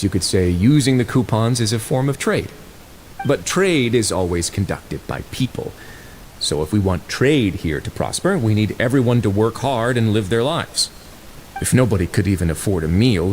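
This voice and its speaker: An actor playing a composed, mysterious prison duke in a video game, speaking in a polite, deliberate, authoritative way over music. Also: male voice, fairly low pitch, animated, a little mellow, a little hiss or hum, close to an everyday voice, poor audio. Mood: measured, reasoning. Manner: measured, polite, smoothly deliberate. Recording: music behind the voice.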